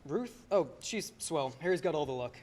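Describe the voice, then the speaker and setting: A man's voice: fairly high pitch, lively intonation, normal speed, a little mellow. An actor playing a restless, good-hearted young man in a radio play, folksy, hesitant and wry. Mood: glum, wry.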